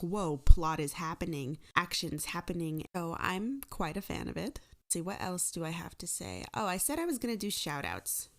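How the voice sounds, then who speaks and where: A woman hosting her podcast in a casual, rambling, joking and self-deprecating way. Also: sits low. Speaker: podcast host and creator.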